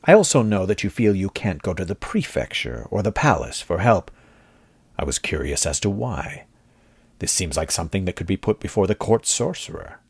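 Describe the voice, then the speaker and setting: A wry, plain-spoken army captain, voiced by a male narrator, with a low, husky tone. He speaks in a measured, dry manner, and the recording is dry and close. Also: sing-song, no echo, exaggerated voice. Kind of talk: audiobook character voice.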